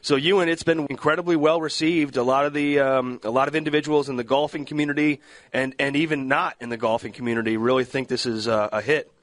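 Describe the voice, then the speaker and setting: Male radio host, mid-pitched, dry studio voice, measured interviewer delivery. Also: fairly even intonation, no echo. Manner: measured questions, slows for book titles.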